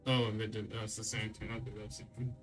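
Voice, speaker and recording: A man's voice: on the low side, level tone, quick pace, music in the background. A young man in a casual gaming reaction chat, opinionated, full of slang and swearing.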